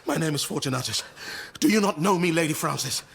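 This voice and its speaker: A man's voice: a little high, expressive, fairly quick, gravelly, slight background noise. An actor playing a humble, guarded male servant, deferential and plain-spoken, in a period radio drama. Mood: pleading, humble. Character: Fortunatus, humble, guarded Moorish stable servant.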